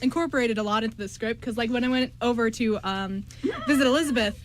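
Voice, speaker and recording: A young woman with a high-pitched voice chats casually, recounting things to friends. She often repeats herself mid-sentence. There is faint background noise. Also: fast talker. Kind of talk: casual anecdote in group chat.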